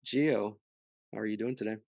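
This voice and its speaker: A young man hosting a podcast interview, talking in a relaxed, friendly way with a few fillers. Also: on the low side, moderate pace, no room sound, studio quality. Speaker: podcast host. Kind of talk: casual interview.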